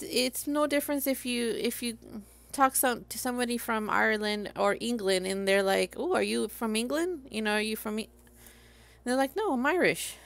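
A woman's voice: on the high side, gravelly, fairly bright tone. A Puerto Rican woman with a Puerto Rican accent, chatting casually and rambling while she glues a craft at her home work table, often laughing at herself. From Puerto Rico. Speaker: crafting livestreamer.

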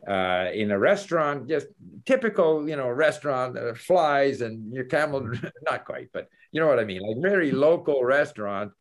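Brief: older male host, lively storyteller over a webcam from a book-lined study. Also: mid-range voice, normal speed. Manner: animated storytelling with gestures, chuckles. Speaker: language learning host. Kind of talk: casual video call chat. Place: home study with bookshelves. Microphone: laptop webcam video call.

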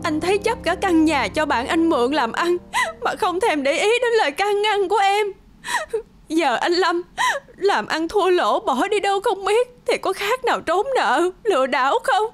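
A woman's voice: very high voice, lively intonation, moderate pace, soft background music. A woman voicing a distressed, reproachful wife in a cartoon, with a scolding, plaintive manner. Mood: tearful reproach. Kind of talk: cartoon voice acting.

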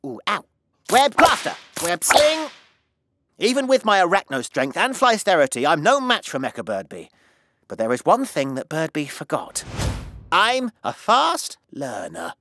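A theatrical, wildly animated cartoon superhero bug. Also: male voice, high-pitched, measured pace, noisy background, full character voice. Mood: determined, heroic. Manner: theatrical, melodramatic. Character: pompous, excitable half-fly half-spider male comic superhero. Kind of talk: cartoon superhero acting with self-narration.

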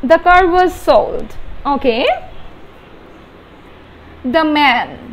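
A young woman teaching an English grammar lesson at a whiteboard, explaining haltingly and repeating herself. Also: sits high, animated, low-quality recording. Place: indoor room.

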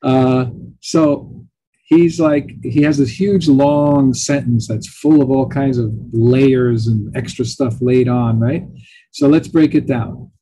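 A man's voice: medium pitch, fairly even intonation, takes it slowly, mellow, a little hiss or hum, poor audio. A middle-aged man whose first language is Italian, giving a chatty lecture as a professor, thinking aloud with fillers and asides.

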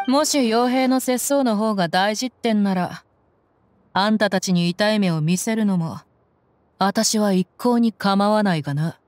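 A tough young mercenary woman from a video game, voiced by an actress. She speaks in a blunt, tomboyish, commanding way at a middle pitch. Some noise sits behind the voice. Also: unhurried, a little mellow, lightly acted. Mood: cool defiance. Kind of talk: Japanese game voice acting.

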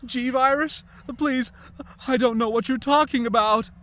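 A man voicing a young woman spy who pleads in a high, stuttering voice. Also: animated, slow pace, fairly bright tone, a little hiss or hum, exaggerated voice. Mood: frightened.

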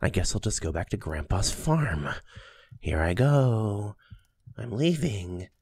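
Man acting as a tender boyfriend, low-pitched, husky, playful teasing, intimate ASMR roleplay. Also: very animated, takes it slowly, exaggerated voice. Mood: playful, loving. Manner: soft, affectionate, playfully teasing, with chuckles and kisses. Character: doting, teasing young boyfriend soothing a sleepy partner.

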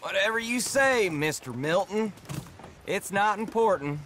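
An actor playing a fussy, helpful middle-aged depot clerk in a western video game, halting and muttering to himself. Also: male voice, sits high, lively intonation, a bit bright, slight background noise. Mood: helpful, flustered. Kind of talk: video game character acting.

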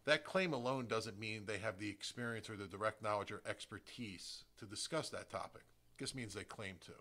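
A middle-aged American man from New Jersey hosting a podcast, giving a prepared solo introduction with emphatic, deliberate phrasing. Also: on the low side, pushed and bright. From New Jersey, United States. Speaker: podcast host, real estate agent.

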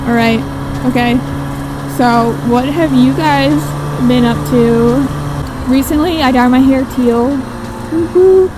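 A woman in her twenties chatting casually and rambling while riding a motorcycle, with a giggly, friendly manner. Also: high voice. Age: 20s. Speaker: motovlogger. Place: outdoors on a moving motorcycle. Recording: wind and engine noise.

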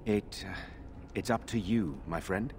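A man's voice: deep voice, unhurried, gravelly, a little hiss or hum. A man playing a concerned, loyal nobleman in a fantasy video game, with a grave, earnest way of talking.

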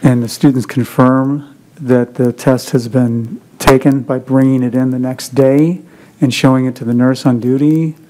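A man's voice: mid-range voice, steady tone, measured pace, darkish tone, rough recording. A man on a school board asking methodical follow-up questions. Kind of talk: public meeting questioning.

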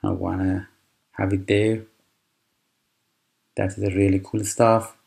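Slow, low-voiced man explaining software. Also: little pitch movement, gravelly. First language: Persian. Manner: unhurried, explanatory, with frequent fillers and restarts. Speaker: tutorial presenter. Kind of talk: screen-recorded software tutorial.

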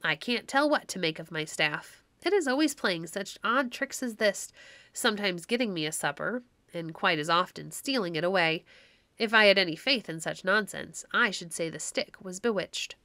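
A woman's voice: mid-range voice, animated, moderate pace, bright, forceful tone, no echo. A woman voicing a sly, playful male traveller with courtly, whimsical storybook speech. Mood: teasing.

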